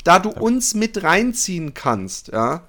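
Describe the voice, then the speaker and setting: A man's voice: high-pitched, very animated, takes it slowly, a crowd in the background. A man hosting a casual podcast, chatting in a joking, colloquial way with friends. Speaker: podcast host. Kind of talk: casual bantering podcast chat.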